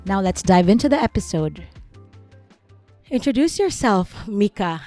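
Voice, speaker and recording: A woman's voice: mid-range voice, animated, a little slow, warm tone. Woman hosting a podcast over faint music. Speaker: podcast host. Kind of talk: scripted intro and outro, interview questions.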